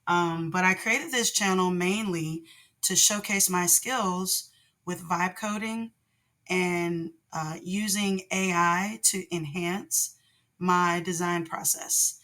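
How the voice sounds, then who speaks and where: Hoarse, deep-voiced woman vlogger. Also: fairly even intonation, takes it slowly, pushed and bright. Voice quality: naturally deep voice. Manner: rambling, with many ums and restarts. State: hoarse from laryngitis. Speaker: channel host, product designer. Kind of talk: casual tutorial vlog.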